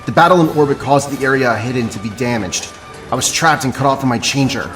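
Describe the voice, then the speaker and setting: A man's voice: medium pitch, quick pace, music in the background, natural voice. A man playing a noble, earnest alien warrior prince in an audio drama, formal and courteous.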